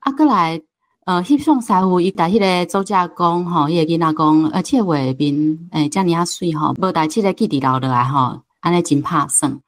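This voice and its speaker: A woman's voice: on the low side, moderate pace. A woman with a Taiwanese accent giving reflective, unscripted commentary as a guest, with frequent hesitations and trailing particles. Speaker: book club host, guest speaker.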